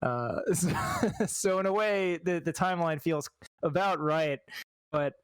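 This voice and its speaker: A man talking casually as an interview guest, halting and thoughtful as he explains things. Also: on the high side, clear, resonant tone, dry recording. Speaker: guest, fan translator.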